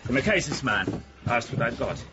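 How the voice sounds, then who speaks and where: A man's voice: medium pitch, sing-song, normal speed, heavy background noise, natural voice. An actor playing a worldly, cynical man in a radio drama, talking in a terse, knowing, pointed way. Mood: cynical, confiding. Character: worldly, cynical man who knows the painter's secrets. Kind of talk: naturalistic radio drama dialogue. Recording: slightly dull, faint background ambience.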